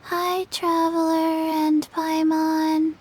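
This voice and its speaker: Blank little girl, high, extremely slow, deadpan video game delivery. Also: a little hiss or hum, heavily acted. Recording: music behind the voice.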